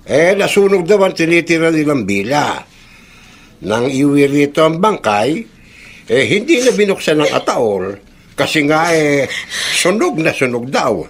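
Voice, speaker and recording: Gossipy elderly Filipino man, radio drama: raised pitch, very animated, full of exclamations. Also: measured pace, full character voice. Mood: grave, sympathetic. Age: older. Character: Mang Pilo, chatty old male slum neighbour.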